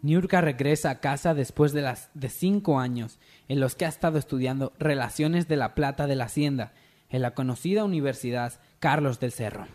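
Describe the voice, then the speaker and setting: A man's voice: mid-range voice, a little fast, a little mellow. A man giving solemn, scripted narration as the narrator of a parody radio soap. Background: music.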